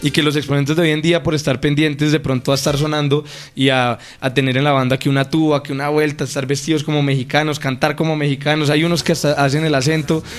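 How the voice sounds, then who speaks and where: Man, singer guest, fast-paced, slightly high voice, faint music behind. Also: little pitch movement. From Colombia. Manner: eager, talks at length, passionate. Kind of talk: radio interview.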